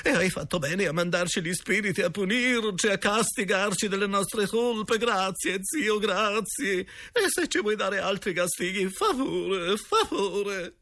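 Man putting on a high, whimpering, remorseful headmistress. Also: lively intonation, bright, forceful tone, no room sound, full character voice. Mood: contrite, tearful. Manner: tearful, whimpering, drawn-out pleading. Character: weeping, remorseful woman headmistress, grovelling and pleading.

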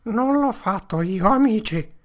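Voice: high voice, expressive, unhurried, vocal fry, bright, forceful tone, voice pushed up, exaggerated voice. A narrator doing a sobbing, terrified accused man. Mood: pleading, sobbing. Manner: tearful, pleading, broken. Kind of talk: audiobook character voice.

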